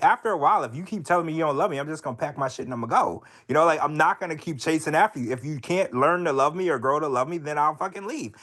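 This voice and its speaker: A man's voice: mid-range voice, a bit bright. A man co-hosting a casual podcast chat, talking bluntly with plenty of swearing and strong opinions. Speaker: podcast co-host.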